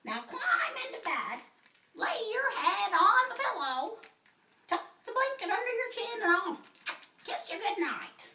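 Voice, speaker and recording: A woman voicing a doting, sweetly bossy old granny in a storybook puppet show, singsong and given to loud exclamations. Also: extremely high pitch, very animated, measured pace, pitched above the performer's own voice, heavily acted. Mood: doting, coaxing. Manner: singsong coaxing with shouted exclamations. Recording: roomy, distant mic, faint noise.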